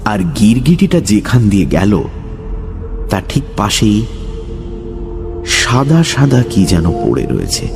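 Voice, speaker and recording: A man giving a dramatic, scripted narration of a horror story. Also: on the low side. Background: background music.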